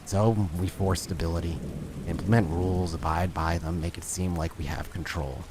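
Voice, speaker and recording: A man voicing a plain, brooding, remorseful man in a hushed, halting, weary voice for a tabletop horror game. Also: sits low, fairly even intonation, noisy background, natural voice. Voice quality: hushed, sighing.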